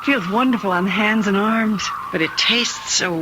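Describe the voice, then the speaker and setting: A woman's voice: mid-range voice, moderate pace, lightly acted. An actress playing a stranded, impatient, incredulous woman traveler in an old-time radio drama. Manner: demanding, exclamatory. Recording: very noisy old radio recording.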